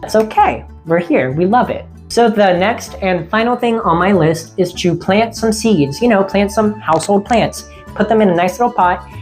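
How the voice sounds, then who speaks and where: A woman casually vlogging about seasonal witchcraft in a friendly, rambling way, her voice getting a little raspy. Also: medium pitch, moderate pace, darkish tone, soft background music. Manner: rambling, friendly, laughs often. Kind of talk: casual chatty vlog.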